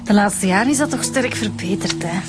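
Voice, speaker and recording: A woman's voice: medium pitch, a little fast, over music, natural voice. Woman acting a level-headed Flemish police inspector. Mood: easygoing. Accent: Flemish Belgian. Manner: matter-of-fact. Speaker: actor in a radio serial. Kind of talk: naturalistic radio drama acting.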